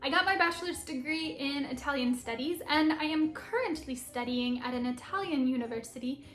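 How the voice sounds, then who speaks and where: Young woman vlogging, high voice, super excited and bubbly, echoey room, bit of music behind. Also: brisk. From Philadelphia, United States. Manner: enthusiastic, bubbly, laughs often. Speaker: vlogger and Italian teacher. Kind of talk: upbeat vlog introduction to camera.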